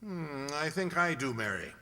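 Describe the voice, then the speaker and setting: A man playing a brisk, confident gentleman detective in a radio drama, articulate and commanding. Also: a little high, very animated, exaggerated voice. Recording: reverberant hall sound.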